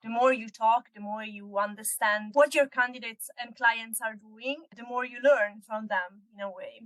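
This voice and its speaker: Measured woman, dry sound. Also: on the high side, fairly bright tone, no echo. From northern Italy. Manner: measured, explanatory. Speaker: guest, executive search consultant. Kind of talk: casual interview chat.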